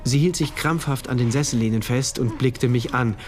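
A male actor playing an introspective, detached diarist, narrating a radio drama in terse, measured phrases. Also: mid-range voice, over music, natural voice.

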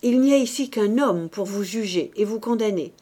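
A woman voicing a stern, forceful male priest with an urgent, commanding manner, in dramatic audiobook style. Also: mid-range voice, moderate pace, lightly acted. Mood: stifled anger. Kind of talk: dramatic audiobook character acting.

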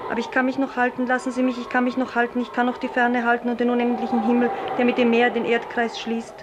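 A woman's voice: a little high, completely flat delivery, fast talker, muffled recording, natural voice. An actress playing a dreamy, wilful young woman who yearns for the sea, lyrical and declamatory in 1950s German radio play style. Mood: exalted, defiant. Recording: roomy, hissy 1950s radio drama recording.

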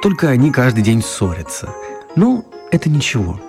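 A man narrating a children's radio play as an expressive storyteller. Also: medium pitch, moderate pace, music in the background. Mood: storytelling.

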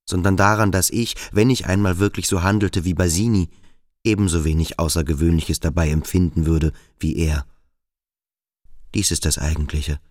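Brooding, domineering schoolboy, low voice. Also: male voice, a little mellow. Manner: intense, introspective, insistent. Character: brooding, domineering adolescent boarding-school boy, probing and tormenting. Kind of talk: German radio drama acting.

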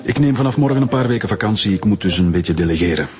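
A man acting in a Flemish radio drama as a senior police director, measured, official, curt and authoritative. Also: fairly low pitch, animated, darkish tone, soft background music, lightly acted.

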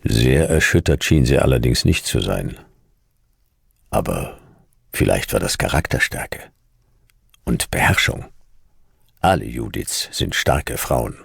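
An actor playing a gruff, world-weary older private detective in a radio play, terse, dry and sardonic. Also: male voice, extremely low pitch, animated, slow pace, long pauses, heavily acted. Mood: brooding narration. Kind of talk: German radio play acting with noir narration.

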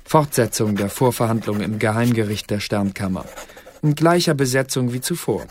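Actor as medium-pitched, formal court recorder. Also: male voice, normal speed, a little mellow, slight background noise, lightly acted. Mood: formal, neutral. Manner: formal, precise. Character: dutiful male court clerk reading out minutes. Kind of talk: formal protocol reading in German radio drama.

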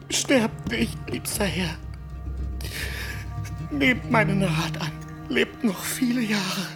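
Radio drama part: devoted squire, voiced by a woman, imploring, plain-spoken, mid-pitched, with clear music underneath. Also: close to an everyday voice. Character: loyal, pleading squire to a dying knight, earthy. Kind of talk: literary radio play acting.

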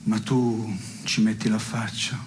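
An actor playing a wry, melancholy man in an absurdist stage dialogue, speaking in a measured deadpan. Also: lowish voice. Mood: deadpan, probing. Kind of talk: absurdist two-hander theatre dialogue. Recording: noisy radio broadcast of theatre piece.

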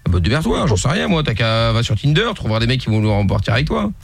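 A radio host making a prank call, playing a cheeky, provoking stranger with hesitant fillers. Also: male voice, medium pitch, big pitch swings, fast, gravelly, slightly rough, soft background music, heavily acted. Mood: cheeky, mocking. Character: cheeky smug man posing as a stranger.

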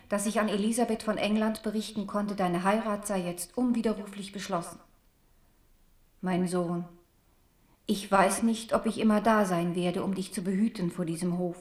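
An actress playing a grave, middle-aged queen mother in a radio play, solemnly and deliberately voicing a letter to her son. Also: mid-range voice, a little mellow, close to an everyday voice. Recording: echoey, slightly distant, faint music.

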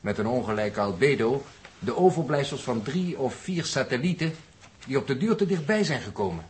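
An actor playing a polite, bookish space scientist in a 1960s Dutch radio play, explaining things in a formal, exact way with a Netherlands accent. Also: male voice, medium pitch, big pitch swings, normal speed, slight background noise, lightly acted. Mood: calm, instructive. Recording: 1960s radio drama recording.

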